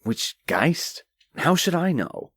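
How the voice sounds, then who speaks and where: A man's voice: a little high, big pitch swings, slow pace, a bit bright, slightly rough, no echo, heavily acted. A man playing a jaded, condescending nobleman who speaks in a curt, dry, analytical way in a dramatized fantasy audiobook. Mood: irritated, dismissive.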